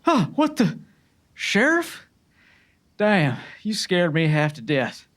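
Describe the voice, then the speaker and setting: A woman's voice: lowish voice, huge pitch swings, takes it slowly, exaggerated voice. A woman voicing a frightened, whimpering man in a western audiobook drama, stammering and pleading. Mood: startled.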